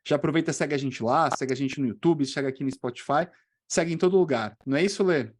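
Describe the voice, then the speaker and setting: A man's voice: fairly high pitch, fast. A man with a Brazilian Portuguese accent presenting a podcast in a conversational style. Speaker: podcast host, orthopedic doctor.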